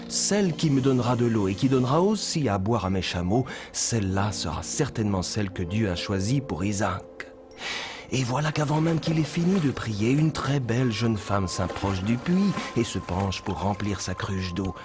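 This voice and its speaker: A man playing a kindly storytelling mentor in a children's cartoon dub, with measured, instructive delivery. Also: fairly low pitch, close to an everyday voice. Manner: measured, expressive, instructive narration. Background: music behind the voice.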